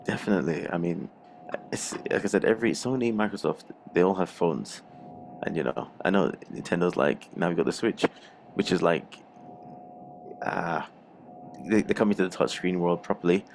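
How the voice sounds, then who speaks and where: A man with a rough, strained, unwell voice chatting casually and slangily as co-host of a gaming show. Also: sits low, moderate pace, a little mellow, a little hiss or hum. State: rough, strained voice from a throat infection. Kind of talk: casual chat.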